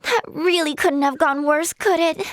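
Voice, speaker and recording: A woman's voice: high voice, moderate pace, dry recording, clean studio sound, lightly acted. A woman playing a sweet, timid, earnest teenage girl healer in a video game, with a light, girlish voice and a polite, hesitant way of talking. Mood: hopeful, nervous. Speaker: voice actress. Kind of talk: video game character voice lines.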